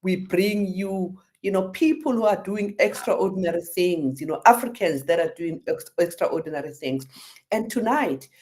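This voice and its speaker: A woman's voice: on the low side, moderate pace, darkish tone. A woman hosting a radio interview show, talking effusively with many fillers.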